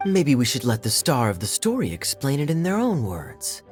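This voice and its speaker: A man voicing a sly, teasing knight in a video game, wry and relaxed, with game music behind. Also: mid-range voice, moderate pace. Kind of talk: video game cutscene voice acting.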